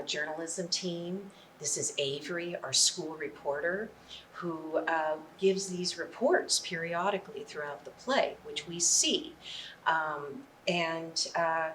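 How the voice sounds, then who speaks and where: A woman explaining as a theatre director in an interview, in a halting way. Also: fairly low pitch, a bit bright. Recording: distant mic, faint background noise.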